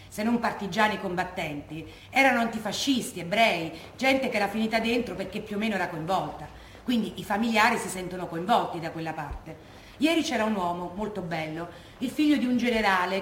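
An actress playing a lucid, firm former partisan woman who reflects in a measured stage monologue drawn from testimony. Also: medium pitch, natural voice. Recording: roomy, echoey stage recording with noise.

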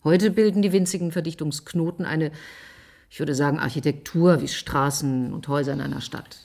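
Formal, insistent female scientist in a radio play; low-pitched, methodical delivery. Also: fairly even intonation, brisk, gravelly, a little mellow. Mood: firm, explanatory. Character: assertive, methodical female scientist presenting her theory. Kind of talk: German radio drama acting.

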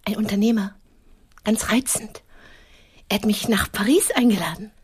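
A woman's voice: medium pitch, normal speed, breathy edge. An actress in a radio play playing a lonely, boastfully romantic woman with a demanding, theatrical manner. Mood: dreamy, boastful.

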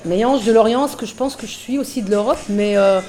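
Middle-aged woman, relaxed chatty interviewee, faint music underneath. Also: on the high side, fairly quick. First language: French. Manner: relaxed, chatty, many fillers. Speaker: musician guest. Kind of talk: casual interview conversation.

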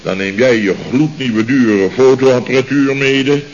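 An actor playing a blustering, pompous middle-aged boss of a building firm in a radio comedy serial, stammering and repeating himself when flustered. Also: male voice, medium pitch, measured pace, natural voice. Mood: moved, grandiose. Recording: noisy, dull vintage radio recording.

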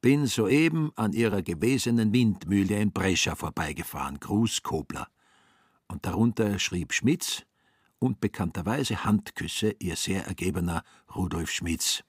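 An actor as the dryly wry, husky-voiced narrator of a satirical radio drama, reading literary prose. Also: male voice, low-pitched, animated, measured pace, no echo, studio quality, full character voice. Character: deep, husky, dry storyteller of a satirical radio play.

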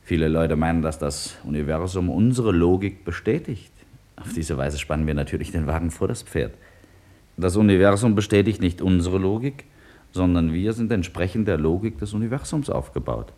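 An actor playing a philosophical scientist who lectures and argues with assurance in a 1950s radio play. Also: male voice, low voice, expressive, normal speed, dark tone. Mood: assured, reasoning.

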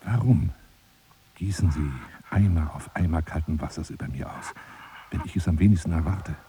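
A lonely, eccentric man wooing a woman in a radio play. His voice is deep and velvety dark. He speaks in a florid, self-dramatizing way, often sinking into hushed, confiding asides. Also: normal speed, slight background noise. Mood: offended, wounded.

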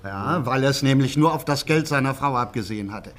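An actor playing a chatty small-town pub landlord, gossiping in a folksy, halting way in a German radio drama. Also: male voice, mid-range voice, close to an everyday voice. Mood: gossipy, amused.

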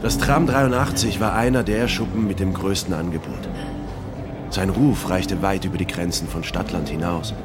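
Loud music runs beneath an actor playing a reflective male writer. His voice is very deep. He delivers literary narration with the dramatic delivery of a radio play. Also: moderate pace, close to an everyday voice. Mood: declaiming.

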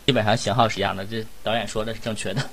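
A male voice actor chatting casually in a blunt, animated and exclamatory way. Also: fairly low pitch, rapid-fire, creaky. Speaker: voice actor in an audio drama. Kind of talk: casual chat.

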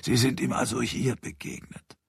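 A man's voice: medium pitch, steady tone, normal speed, vocal fry, breathy edge, no echo, clean studio sound. A man voicing a baffled, courteous police superintendent in an audiobook, hesitant, restrained and questioning. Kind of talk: audiobook character dialogue.

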